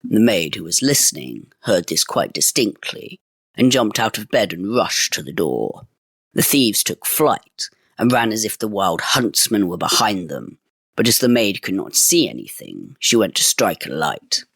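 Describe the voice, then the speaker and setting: A man's voice: lowish voice, big pitch swings, a little slow, creaky, fairly bright tone, husky, no room sound, studio quality. A man reading a fairy tale aloud in a dramatic, old-fashioned storytelling manner.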